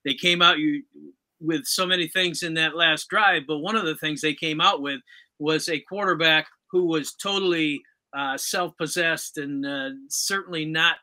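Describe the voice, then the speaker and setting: A man's voice: a little high, steady tone, moderate pace, bright, forceful tone. A man hosting a sports show in a conversational interview style, commenting and putting questions to his guest.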